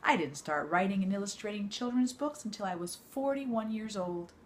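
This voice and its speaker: A woman reading an autobiography aloud like a teacher to students, in a measured, expressive storytelling way. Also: medium pitch, background noise.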